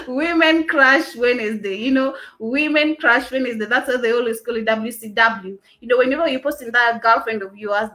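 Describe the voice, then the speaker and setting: A woman's voice: fairly high pitch, sharp tone. A young woman hosting a casual morning show, chatty and rambling with many fillers. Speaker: radio show host.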